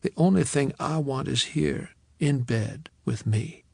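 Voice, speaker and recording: A man's voice: medium pitch, a little slow, vocal fry, slightly rough, no room sound. A man voicing a wry Southern woman. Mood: tender. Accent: faint Southern US. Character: young divorced Southern woman, frank and wry. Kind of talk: audiobook character voice.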